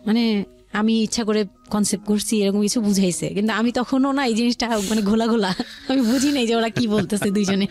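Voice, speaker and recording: A woman with a Bangladeshi accent telling a personal story as an interview guest, explaining in a halting way and often repeating herself. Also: medium pitch, a little mellow.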